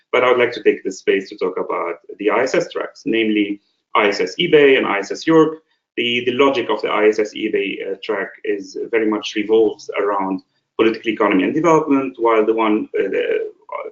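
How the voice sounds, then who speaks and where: Male academic presenting a webinar, halting delivery full of fillers, echoey room, muffled lo-fi sound. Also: fairly low pitch. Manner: halting, frequent filler sounds, poses rhetorical questions. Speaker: associate professor and program coordinator. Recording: roomy, dull lo-fi webinar audio.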